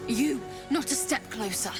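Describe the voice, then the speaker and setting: A woman's voice: a little high, unhurried, music in the background, close to an everyday voice. A woman playing a fervent, wary cult recruit in a fantasy game, with a reverent and intense manner. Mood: hostile, wary. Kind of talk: dramatic fantasy game dialogue.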